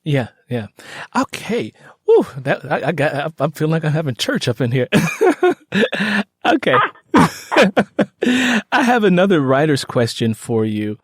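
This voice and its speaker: A man's voice: a little high, sing-song, measured pace, dry recording. Man hosting a chatty, close-miked interview. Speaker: podcast host. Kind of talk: conversational interview.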